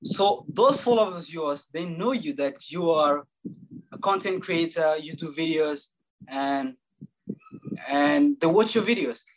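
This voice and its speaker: A male podcast host with a clearly high voice who welcomes his guest. His talk is lively and informal, and he circles back to repeat his points. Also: a little slow, poor audio. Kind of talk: casual interview hosting.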